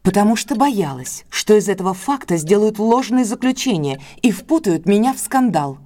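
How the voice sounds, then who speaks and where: A woman's voice: medium pitch, expressive, normal speed, dark tone, close to an everyday voice. An actress playing a guarded, anxious young woman in an audio drama, speaking with careful restraint. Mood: defensive, guarded.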